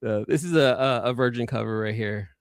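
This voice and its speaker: A man's voice: mid-range voice, fairly quick, no echo, clean studio sound. A man hosting a live collecting show, chatting casually and enthusiastically in informal slang, often repeating phrases for emphasis. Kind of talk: casual live-stream chat showing off comics.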